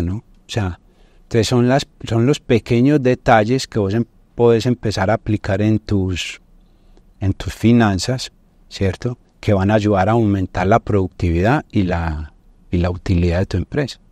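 Deep-voiced middle-aged Colombian man explaining. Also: a little mellow, no room sound. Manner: didactic, checks in with tag questions. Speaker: guest business finance consultant. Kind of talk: podcast interview, explaining. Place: indoor podcast studio. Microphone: lapel mic.

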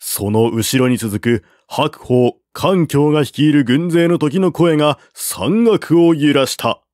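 A man reading a classical war novel aloud as narrator, in a slow, measured way at a close mic. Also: a little high, darkish tone, no room sound. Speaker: audiobook narrator.

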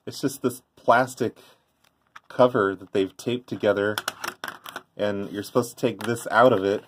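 A man's voice: fairly low pitch, steady tone, a little slow, noisy background. A middle-aged man giving an offhand, rambling show-and-tell commentary in a casual way. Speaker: vlogger, former prank call tape trader. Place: indoors by a tape deck.

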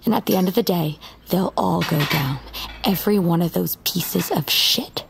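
A woman's voice: fairly low pitch, moderate pace, vocal fry, background noise. An actress playing a determined, vengeful young woman, blunt and resolute, in a naturalistic audio drama. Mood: grim determination.

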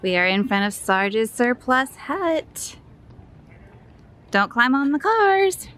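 A woman's voice: a little high, very animated, slow, a bit bright. A woman in her thirties, a mom vlogging casually as she tours a resort and points things out, filming herself at arm's length. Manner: chatty, upbeat, tour-guide pointing things out. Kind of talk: casual vlog narration. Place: outdoors at a resort and indoor arcade. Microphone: phone or camera held at arm's length.

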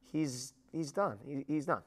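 A man's voice: fairly high pitch, unhurried. A man in his thirties giving blunt, emphatic opinions as a guest on a studio sports show. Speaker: guest commentator. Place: small studio with dark backdrop.

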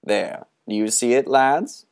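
A man's voice: mid-range voice, takes it slowly, clean tone, pitched above the performer's own voice. A man doing a gaunt, theatrical old guide. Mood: beckoning. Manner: theatrical, beckoning, slipping into whispers.

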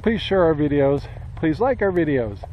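A man's voice: a little high, big pitch swings, normal speed, muffled recording, slight background noise. An older man chatting casually to a handheld camera outdoors on his rural homestead, rambling with lots of fillers and little chuckles. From Central Oregon, USA. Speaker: homestead vlogger. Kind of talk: casual vlog talk to camera. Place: outdoors on a rural property and in a garage. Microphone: handheld camera at arm's length.

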